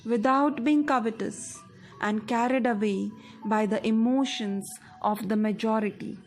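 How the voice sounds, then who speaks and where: Slow, higher-pitched Indian woman guiding meditation. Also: rough recording. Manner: measured, deliberate phrasing with pauses. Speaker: meditation guide. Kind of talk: guided meditation narration, scripted. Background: music.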